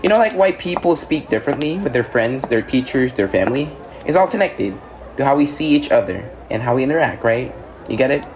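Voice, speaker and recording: A man acts as an earnest, academically minded male student in a radio drama. He explains patiently in a conversational way, often tagging points with a check for agreement. His medium-pitched voice sits over clear ambient noise. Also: lively intonation, a little fast, close to an everyday voice. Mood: earnest, explanatory. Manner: explanatory, checks with "right?" and "you know". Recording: noisy outdoor ambience.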